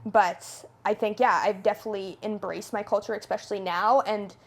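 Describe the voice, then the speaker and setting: A woman in her twenties talks freely as a podcast guest. Her voice sits at a mid pitch. She is upbeat and chatty, with plenty of "like" and quick restarts. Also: fairly even intonation. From Canada. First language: Italian. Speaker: podcast guest, food reviewer. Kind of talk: casual podcast chat.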